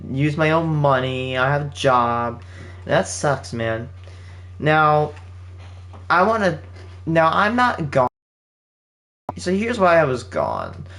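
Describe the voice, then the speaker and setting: A man's voice: on the high side, fairly bright tone. An eighteen-year-old man giving his channel's viewers a rambling, casual update from home, often restarting his sentences. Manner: rambling, with frequent restarts and fillers. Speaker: channel host. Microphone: home setup with game console running nearby.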